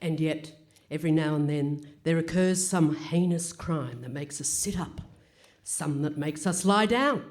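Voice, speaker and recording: A woman playing a straight-faced announcer, narrating absurd scripted radio comedy in a formal, deadpan manner. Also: sits low, unhurried. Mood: mock-solemn. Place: hall stage, live performance.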